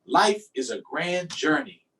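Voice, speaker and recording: A man voicing gentle, wise fish parents from a picture book, tenderly encouraging, picked up from a little distance. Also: high-pitched, huge pitch swings, slow pace, gravelly, heavily acted. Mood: warm, wise.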